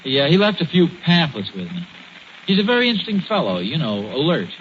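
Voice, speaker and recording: Through a dull, faintly noisy 1940s radio recording comes an earnest American suburban dad. His voice is fairly high and swoops as he lectures, a bit pompous and easily flustered. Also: huge pitch swings, fast. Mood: friendly. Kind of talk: 1940s radio sitcom acting.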